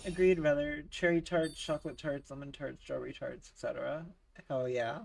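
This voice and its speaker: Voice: mid-range voice, steady tone, a little fast, gravelly, darkish tone, recorded from a distance, slight background noise. Casting note: sniffly, cold-stricken game streamer, crude and playful with chat, mid-range voice, recorded a little off-mic. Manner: profane, joking, laughs often, reads chat aloud. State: getting over a cold, sniffly. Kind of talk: casual live game-stream chat with viewers.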